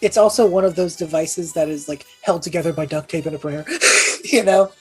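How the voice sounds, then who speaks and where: Low-pitched woman, improvising casually as a tabletop player, explanatory, faint music underneath. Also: fairly quick, husky, rough recording. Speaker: tabletop role-playing game player. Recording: music playing behind the voice. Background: background music.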